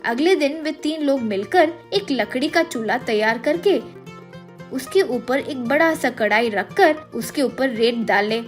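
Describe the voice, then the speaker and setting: A woman's voice: high-pitched, expressive, a little slow, faint music behind. A woman reading a scripted moral story as its narrator.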